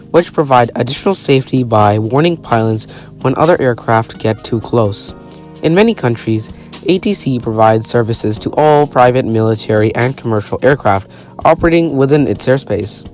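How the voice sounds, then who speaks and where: Male narrator, mid-pitched, formal scripted explainer read, light music bed behind. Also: darkish tone. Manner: reading formally from a written text.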